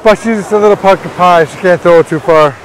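A man's voice: high-pitched, quick pace, rough recording. A middle-aged father teasing his daughter and calling out from a few steps away from the phone on a windy beach.

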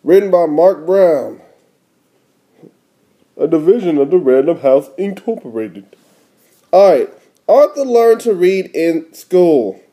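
A man's voice: fairly high pitch, expressive, takes it slowly, pauses often, warm tone. A father reading a picture book to his young child, explaining it casually and prompting with questions. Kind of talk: storybook read-aloud with casual explanations.

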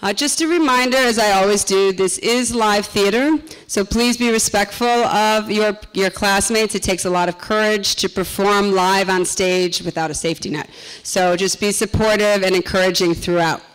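A woman's voice: medium pitch, steady tone, moderate pace, smooth, rough recording. A middle-aged woman, a drama teacher, speaking live to an audience in a school auditorium to introduce a play. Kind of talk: live welcome speech to an audience.

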